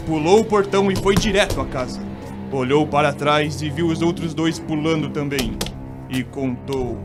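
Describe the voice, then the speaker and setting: A man with a Brazilian accent giving dramatic scripted narration of a ghost story. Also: on the high side. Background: music.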